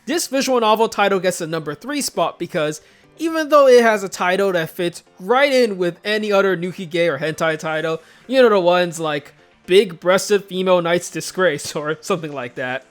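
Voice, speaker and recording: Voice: mid-range voice, lively intonation, moderate pace, soft background music. A casual, joking video host narrating a scripted countdown commentary.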